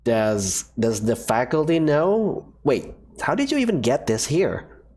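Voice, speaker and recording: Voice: mid-range voice, sing-song, measured pace, a little mellow, breathy edge. A voice actor playing a cautious young male student who talks in a hesitant, worried, stammering way. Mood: wary. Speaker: player character in a fan visual novel.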